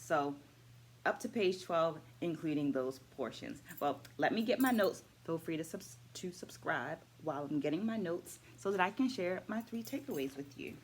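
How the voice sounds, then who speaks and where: A middle-aged woman, a dance educator, talking through her takeaways from a book in a measured vlog, stacking her points in lists and asking viewers to comment. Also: on the low side, slightly rough. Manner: asks viewers questions and invites comments.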